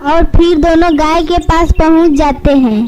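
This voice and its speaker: Female narrator, high voice, scripted children's storytelling. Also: fairly even intonation, moderate pace, darkish tone.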